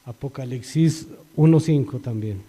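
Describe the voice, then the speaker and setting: A man's voice: medium pitch, unhurried, husky. A middle-aged Colombian man with a Colombian accent giving an earnest church testimony, his voice rising when he quotes scripture. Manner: earnest, rises and grows louder when quoting scripture. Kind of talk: spoken religious testimony. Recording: long reverberant hall.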